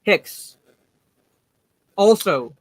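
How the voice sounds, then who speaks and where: A woman with a slightly deep voice hosting a casual movie chat, rambling with lots of fillers and restarts. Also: expressive, extremely slow, husky, no echo, low-quality recording. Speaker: podcast host.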